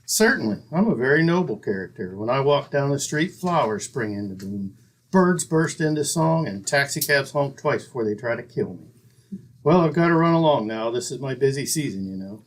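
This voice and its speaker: An actor playing a dry-witted, tight-fisted town doctor in a 1940s-style radio comedy. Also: male voice, mid-range voice, expressive, moderate pace, lightly acted, poor audio. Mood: wry. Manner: pompous, sardonic.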